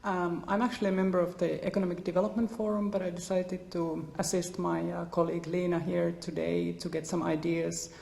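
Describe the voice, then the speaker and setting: A woman introducing herself at a round-table meeting in a council hall, speaking haltingly with ums. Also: fairly low pitch, little pitch movement, creaky, dark tone. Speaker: city EU office representative. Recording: echoey hall.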